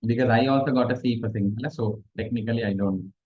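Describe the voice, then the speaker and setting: A man's voice: mid-range voice, fast talker, slightly rough, lo-fi sound. Chatty Sri man tutoring online. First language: Tamil. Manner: chatty, mixes in Sinhala, laughs at himself. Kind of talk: online exam revision class. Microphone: video call.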